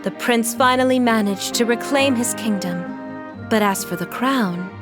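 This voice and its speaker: Actress as a gentle, fairly high-voiced mother. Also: a little slow, over loud music, lightly acted. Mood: storytelling. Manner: soft-hearted, encouraging, polite. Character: gentle, tender mother telling a picture-book fantasy. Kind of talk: video game cutscene acting.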